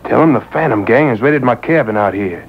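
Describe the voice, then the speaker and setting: A man's voice: animated, a little fast, lightly acted. Actor as a confident, scheming male outlaw. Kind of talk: old-time radio drama acting. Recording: muffled, noisy radio broadcast taped on boombox.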